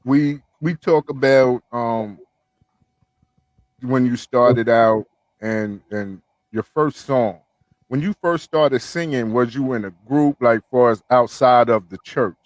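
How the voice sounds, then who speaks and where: A man's voice: medium pitch, slow pace, no room sound, poor audio. A man hosting a casual podcast interview, talking loosely with lots of "you know what I'm saying" fillers. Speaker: podcast host.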